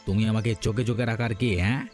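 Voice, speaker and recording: A man's voice: lowish voice, level tone, racing, darkish tone, soft background music, natural voice. A man voicing a stubborn, domineering shopkeeper husband in a cartoon, blustering and defensive in manner. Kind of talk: cartoon dialogue acting.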